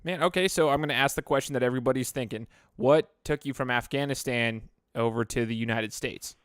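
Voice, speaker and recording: Chatty podcast host, dry close mic. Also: male voice, mid-range voice, fairly quick, a bit bright, clean tone, dry recording. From Idaho. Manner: chatty, rambling with restarts. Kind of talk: casual interview.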